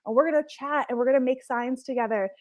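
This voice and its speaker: Young woman, from Hawaii, chatty and enthusiastic, fairly high voice, dry close recording. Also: quick pace, darkish tone, dry recording. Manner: chatty, enthusiastic, laughs often. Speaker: marine scientist presenter. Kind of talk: casual online workshop presentation.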